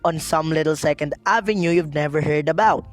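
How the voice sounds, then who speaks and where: A man playing a dismissive, placating police officer taking a call in a radio drama, patronizing and unhurried. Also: fairly high pitch, faint music behind, lightly acted. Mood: skeptical.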